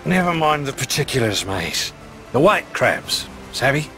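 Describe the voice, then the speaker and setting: An actor playing a roguish, swaggering pirate captain in a video game, with a British accent and flowery, slurred speech. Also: male voice, mid-range voice, sing-song, unhurried, music in the background. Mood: sly, brisk. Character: roguish, theatrical pirate captain, a sly man. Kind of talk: dramatic video game cutscene acting.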